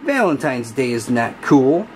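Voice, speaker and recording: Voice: medium pitch, very animated, measured pace, slight background noise. A storybook reader voicing a young male cat who is earnest, exclaiming and easily worried.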